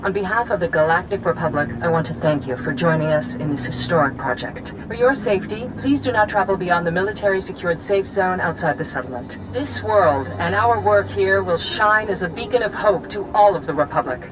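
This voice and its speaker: A woman's voice: lowish voice, lively intonation, fairly quick, hardly any pauses, noisy background, natural voice. A woman playing an earnest colonial governor giving an inspirational welcome speech. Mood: rousing. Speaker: planetary governor.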